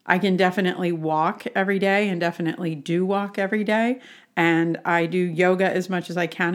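A woman talking as a health coach, explaining things conversationally with questions and examples. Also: lowish voice.

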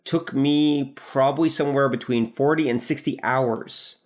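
A man who is a podcast guest, telling stories in a relaxed, self-deprecating way during casual chat. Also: medium pitch, a little slow.

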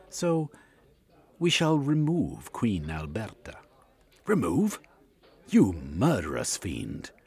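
A man's voice: mid-range voice, darkish tone, husky, voice pushed up, heavily acted. A man voicing a scheming, scornful male foreign spy villain who threatens and sneers.

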